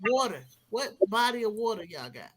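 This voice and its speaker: A woman's voice: medium pitch, sing-song, normal speed. A woman bantering casually in a teasing, challenging way.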